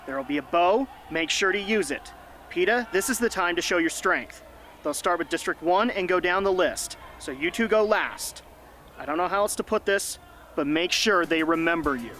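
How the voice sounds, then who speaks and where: A man playing a gruff, sardonic middle-aged mentor in a radio drama, speaking bluntly and wryly. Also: high-pitched, lively intonation, normal speed, sharp tone, slight background noise. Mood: urgent, instructive. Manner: blunt, wry, emphatic. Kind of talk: hobby radio drama acting.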